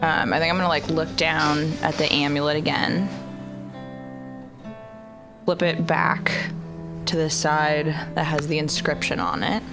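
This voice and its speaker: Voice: medium pitch, little pitch movement, unhurried, pauses often. A player talking casually and hesitantly while roleplaying in a tabletop game. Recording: music clearly behind the voice. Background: plucked guitar music.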